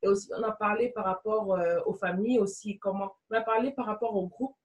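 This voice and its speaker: A woman's voice: mid-range voice, steady tone, brisk, far from the mic, highs cut off. A woman from Congo, a guest entrepreneur, speaking earnestly in a conversational discussion and repeating phrases for emphasis.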